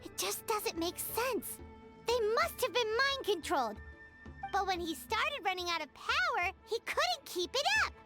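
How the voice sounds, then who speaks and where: A woman voicing an excitable, quippy young female companion in a game. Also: very high voice, a little slow, over music, exaggerated voice. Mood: musing. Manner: animated, quippy.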